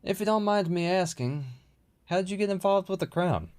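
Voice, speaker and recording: Animated, genial older lord, played by a man. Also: high-pitched, moderate pace, clear, resonant tone. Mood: curious, polite. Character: genial, regretful older village lord, familiar and teasing. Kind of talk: audio drama dialogue.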